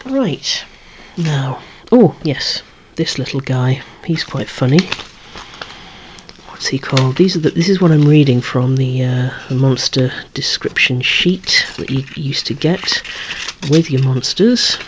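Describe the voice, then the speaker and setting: A softly whispering collector presenting a toy collection ASMR-style, in a rambling, chatty way with lightly wry asides. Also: mid-range voice, takes it slowly, background noise. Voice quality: breathy, hushed whisper.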